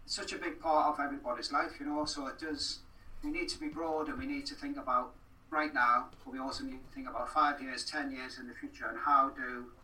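Guy being interviewed, really sharp bright voice, earnest, bit far from the mic w faint noise behind. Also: medium pitch, steady tone, a little fast, echoey room. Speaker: podcast guest. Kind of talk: conversational interview.

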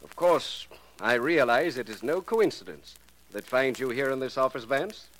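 An actor playing a suave criminal professor in a 1940s radio drama, speaking formally with mocking courtesy and quiet menace. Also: male voice, medium pitch, expressive, normal speed, close to an everyday voice, poor audio. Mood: mockingly courteous. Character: suave, self-assured criminal professor, an extortionist.

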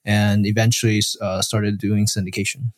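A man's voice: lowish voice, flat delivery, normal speed, no room sound. A man from Taiwan, a podcast guest, answering casually with many fillers and restarts. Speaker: podcast guest, real estate syndicator.

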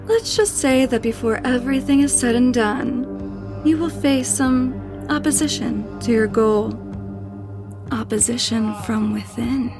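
A woman's voice: on the high side, unhurried. An actress playing a commanding, taunting spirit woman who haunts a manor in a horror audio drama, with an insinuating manner. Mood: ominous, knowing. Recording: voice over prominent background music.

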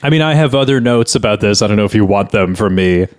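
A man's voice: fairly low pitch, lively intonation, quick pace, clean tone. A man co-hosting a podcast in casual banter, critiquing a script in an explanatory way, often restarting mid-thought. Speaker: podcast co-host.

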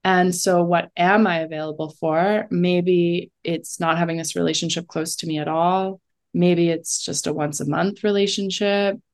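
Thoughtful woman with a lowish voice. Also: steady tone, moderate pace. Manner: reflective, often says "like". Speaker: astrologer guest. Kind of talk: casual conversational interview.

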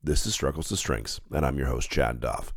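A man's voice: rumbling low, normal speed, no echo, clean studio sound. A man hosting his own podcast, stuffed up with a head cold, talking in an earnest, reflective and conversational way. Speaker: podcast host. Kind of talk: solo podcast monologue.

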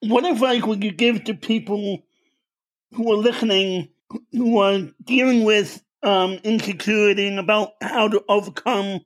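High-voiced man hosting a faith interview. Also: steady tone, normal speed, poor audio. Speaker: podcast host. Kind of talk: interview questions.

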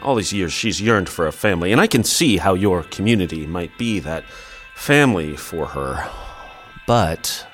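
An actor playing a skeptical, protective brother in an audio play, dry and wry or desperate and stammering. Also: male voice, deep voice, animated, normal speed, fairly bright tone, faint music behind. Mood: wry, doubtful. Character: skeptical protective brother, growing frantic with fear.

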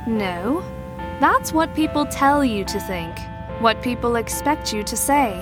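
Shrewd female mentor, audio drama, fairly high voice, measured, teasing, probing, music clearly behind. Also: animated. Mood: shrewd, needling. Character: shrewd, teasing adult mentor to troubled teens.

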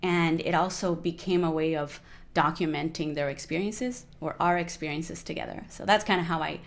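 Colombian woman in her 40s, Spanish-native, animated chatty guest, slightly low pitch. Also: moderate pace. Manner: animated, lots of fillers like "you know". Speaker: guest musician. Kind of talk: casual interview conversation.

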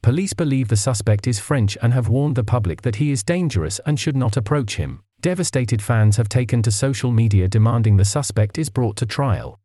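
Radio newsreader, played by an actor: formal, measured, mellow middle-range male voice, dry sound. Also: medium pitch, lively intonation, no room sound, close to an everyday voice. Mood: serious. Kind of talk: scripted news bulletin.

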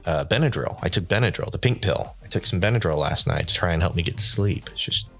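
A tired, stuffy-nosed man talks into the mic for his own podcast, rambling loosely with self-deprecating asides. His voice sits very low, and faint music plays underneath. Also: expressive, quick pace, vocal fry, a bit bright, breathy edge. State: tired and nasally. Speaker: podcast host. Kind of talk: unscripted solo podcast rambling.

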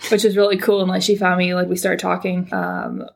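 Chatty young Californian woman, low flat voice. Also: a little fast, creaky. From California, United States. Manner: chatty, rambling, with many fillers and restarts. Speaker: podcast guest, PhD student. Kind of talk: casual interview chat.

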